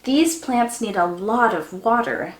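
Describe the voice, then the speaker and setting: A woman's voice: medium pitch, takes it slowly, reverberant, natural voice. A woman voicing a friendly female rabbit garden guide in a children's storybook, welcoming and explaining with wide-eyed emphasis. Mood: amazed.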